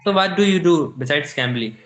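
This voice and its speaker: Young man practising English, halting delivery. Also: fairly high pitch, lively intonation, roomy sound. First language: not English. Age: young adult. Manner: halting, searching for words. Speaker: English learner in online lesson. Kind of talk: casual chat, practising English.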